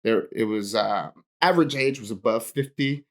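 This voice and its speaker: A man's voice: medium pitch, moderate pace. A young man from Hawaii, a pastor and podcast guest, telling stories in a casual chat and laughing often.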